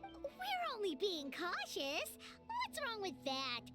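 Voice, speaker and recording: Very high, childlike fairy companion. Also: female voice, slow pace, loud music behind the voice, heavily acted. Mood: wary, indignant. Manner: refers to self in third person, plucky and expressive. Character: small childlike female fairy companion, chirpy, wary, blunt. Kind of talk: anime game voice acting.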